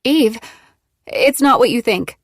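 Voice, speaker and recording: A woman's voice: a little high, animated, takes it slowly, no echo, clean studio sound, voice pushed up, heavily acted. A woman reading an audiobook, voicing a frightened, defensive fifteen-year-old girl who pleads with her friend. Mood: defiant. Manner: emotional, shaky pleading.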